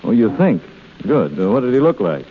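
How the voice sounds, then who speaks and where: A man's voice: mid-range voice, sing-song, normal speed, a little hiss or hum, close to an everyday voice. A man playing a suave, sardonic swindler posing as a haughty princely uncle in 1930s radio drama. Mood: suspicious, sardonic. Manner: pompous, sardonic, theatrical. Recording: muffled, reverberant vintage 1930s radio broadcast.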